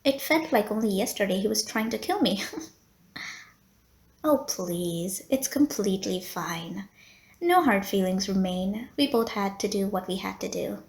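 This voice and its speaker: A woman's voice: mid-range voice, lively intonation, measured pace, darkish tone, roomy sound. A woman playing a polite, teasing female vampire who runs a blood bank, in an audio roleplay spoken to the listener. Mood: wistful, chatty. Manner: genteel, courteous, teasing. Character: polite, flirty female vampire running a blood bank, playful and long-suffering. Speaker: medical center receptionist.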